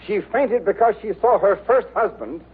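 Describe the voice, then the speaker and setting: An actor playing a formal courtroom judge in old radio style, with a husky voice. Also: male voice, sits high, moderate pace. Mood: solemn. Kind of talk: 1950s radio acting. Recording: tinny old radio broadcast.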